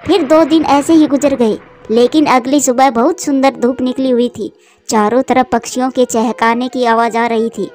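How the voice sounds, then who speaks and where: A woman's voice: high-pitched, fairly even intonation, normal speed, darkish tone, faint music behind. A woman narrator reading a scripted children's moral story for a cartoon.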